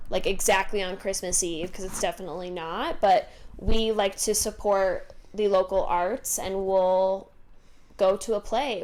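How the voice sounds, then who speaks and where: A young woman co-hosting a podcast, telling family holiday stories in a relaxed, chatty way with plenty of fillers. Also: mid-range voice, a little hiss or hum. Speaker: podcast co-host. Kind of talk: casual chat.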